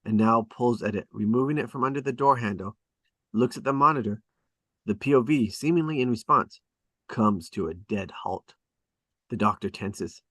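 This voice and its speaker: A man's voice: medium pitch, normal speed, a bit bright, no echo. A man narrating a script at a table read, reading stage directions dramatically in short, clipped phrases.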